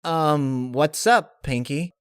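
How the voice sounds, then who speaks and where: A man's voice: fairly high pitch, slow pace, fairly bright tone, clean tone, no echo, clean studio sound, voice pushed up. A man voicing a casual, bemused young tomboyish female pegasus pony in a story reading. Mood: confused, casual. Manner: casual, questioning.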